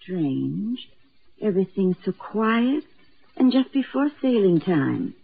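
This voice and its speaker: Fretful, clinging older spinster, creaky voice, vintage radio drama on a noisy, muffled recording. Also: female voice, medium pitch, big pitch swings, slow, exaggerated voice. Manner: nervous, hesitant, fretful. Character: timid, anxious, clinging older spinster sister. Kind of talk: 1940s radio drama acting.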